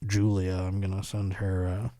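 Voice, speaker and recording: A man's voice: low-pitched, completely flat delivery, normal speed, dark tone, no echo. Middle-aged American man bantering, close dry sound. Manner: teasing, joking. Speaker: podcast host. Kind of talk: casual comedic podcast banter.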